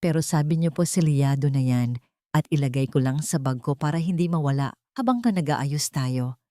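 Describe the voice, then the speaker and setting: A woman voicing a frightened young housemaid in an audio drama, halting, deferential and pleading. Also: sits low, warm tone, no room sound, studio quality, lightly acted. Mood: nervous.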